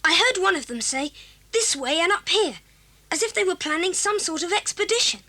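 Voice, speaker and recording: A woman's voice: very high-pitched, animated, a little fast, pushed and bright, exaggerated voice. A woman playing a plucky, practical schoolboy with an eager, earnest way of talking, in a 1980s children's audio drama.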